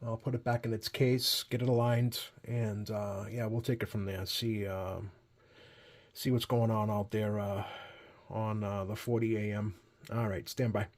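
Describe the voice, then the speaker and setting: Casual, halting man talking through a demonstration. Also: lowish voice, level tone. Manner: halting, easygoing, thinking aloud. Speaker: radio repair hobbyist hosting a video. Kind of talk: casual unscripted hands-on demonstration commentary.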